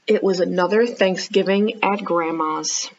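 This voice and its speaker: A woman hosts a read-aloud for young children. She speaks at an unhurried pace and addresses the kids directly, often inviting answers. The sound is a little dull and lo-fi. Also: on the low side, slight background noise. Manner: child-directed, asking questions and pausing for answers. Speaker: children's librarian hosting storytime.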